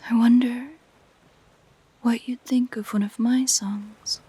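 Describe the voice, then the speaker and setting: A woman voicing a possessive, curious siren in an intimate audio roleplay, speaking in soft, gentle, musing whispers. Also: on the high side, fairly even intonation, no echo, full character voice. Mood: musing delight. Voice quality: soft, breathy, mostly whispered. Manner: slow, gentle, musing delivery. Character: possessive, curious female siren, sweetly entranced by humans.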